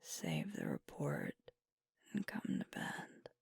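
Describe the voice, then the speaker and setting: A woman playing a sweet, protective, gently firm werewolf girlfriend in an ASMR roleplay, soothing and coaxing in a breathy whisper. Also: dry recording, clean studio sound, close to an everyday voice. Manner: soothing, coaxing, reassuring, deliberate pauses.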